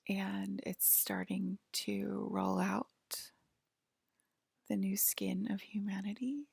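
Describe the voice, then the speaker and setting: Whispery, creaky woman doing slow ASMR roleplay. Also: medium pitch, little pitch movement, dry recording, clean studio sound. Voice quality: breathy, whispery. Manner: halting, hushed, soothing clerk-like explaining.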